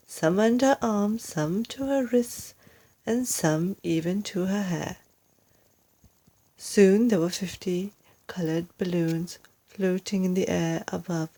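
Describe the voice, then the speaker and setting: A woman narrating a children's story in a deliberate, expressive storytelling manner. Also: lowish voice. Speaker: storybook reader, English teacher.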